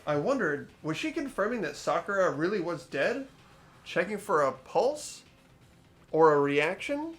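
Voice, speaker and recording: A man's voice: sits high, sing-song, unhurried, fairly bright tone, exaggerated voice. Guy playing dramatic earnest teenage game hero narrator, lightish voice, big swings. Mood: shaken, somber.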